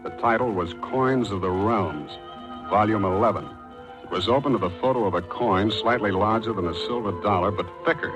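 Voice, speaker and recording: An actor playing a wisecracking, brusque private detective, with clipped, cynical delivery and first-person narration in 1940s radio style. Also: male voice, on the low side, a little fast, close to an everyday voice, rough recording. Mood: dry, probing. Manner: clipped, terse questioning. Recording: 1940s radio drama with music.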